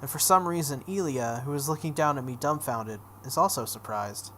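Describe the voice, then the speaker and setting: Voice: mid-range voice, normal speed, fairly bright tone, a little hiss or hum. A let's play host reading visual novel text aloud dramatically, breaking off into casual reactions.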